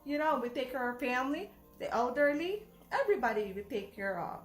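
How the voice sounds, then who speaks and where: High-voiced indignant Filipino woman. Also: sing-song, a little fast, roomy sound, soft background music. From Philippines. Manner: indignant, emphatic, scolding. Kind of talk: ranting video reply.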